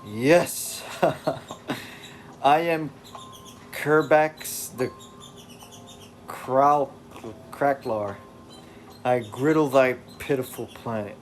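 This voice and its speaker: A man's voice: mid-range voice, very slow, pauses often, slight background noise. A man voicing a boastful giant male cosmic ogre who speaks in grandiose, old-fashioned threats. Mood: booming boast.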